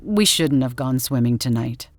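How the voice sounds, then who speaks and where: Woman putting on a young husband, lowered pitch, sheepish, subdued murmur, horror storybook, music behind. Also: animated, normal speed, darkish tone. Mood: feeble humor.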